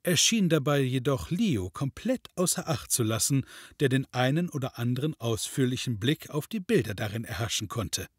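A man's voice: medium pitch, big pitch swings, normal speed, husky, dry recording, clean studio sound. A man reading scripted narration as the narrator of an audio drama. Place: dry studio.

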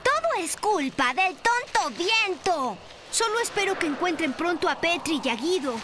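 A woman's voice: extremely high pitch, sing-song, normal speed, very noisy. A woman voicing a worried, earnest young dinosaur in a cartoon dub. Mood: annoyed, worried. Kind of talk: animated series dub.

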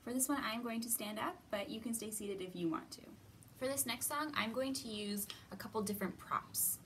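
A woman's voice: a little high, fairly quick, fairly bright tone, far from the mic, a little hiss or hum. A woman in her thirties hosting storytime for children, giving simple instructions addressed to young listeners. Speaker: children's library storytime host. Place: indoor library room.

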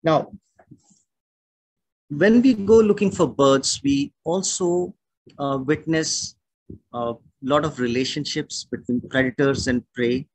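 A middle-aged man with an Indian accent, a naturalist giving a measured, explanatory talk over a video call. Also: on the high side, darkish tone, slightly rough, no echo, low-quality recording. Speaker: naturalist and birding guide. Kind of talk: online illustrated talk. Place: indoor room at home or office, on a video call. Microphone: video call microphone.

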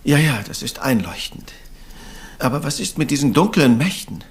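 An actor playing an earnest, puzzled gentleman, the loyal male sidekick to a detective in a crime radio play. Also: medium pitch, big pitch swings, moderate pace, lightly acted. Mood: curious, impressed.

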